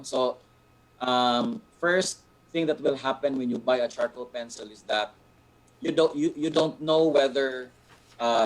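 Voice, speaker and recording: A man with a Filipino accent and a medium-pitched voice answers questions as an art instructor. He explains things deliberately and informally, often pausing as he searches for the right words. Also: poor audio.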